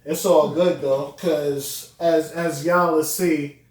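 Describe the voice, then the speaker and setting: A young man with a high voice, chatting casually as a podcast guest with lots of fillers and restarts. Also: steady tone, slow, darkish tone, slight background noise. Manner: laid-back, many fillers and restarts. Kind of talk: casual chat. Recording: echoey room, mic far off.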